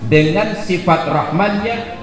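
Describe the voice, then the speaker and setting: A man's voice: high-pitched, slow. Man preaching in an echoey hall. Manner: jokey, animated, builds to loud emphatic peaks. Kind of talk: humorous sermon at a religious gathering. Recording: echoey hall with background noise.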